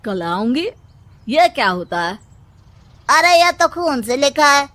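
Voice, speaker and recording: A high, alarmed villager put on by a woman for a cartoon, recorded dry. The character is superstitious and exclamatory. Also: very animated, slow, bright, forceful tone, dry recording, full character voice.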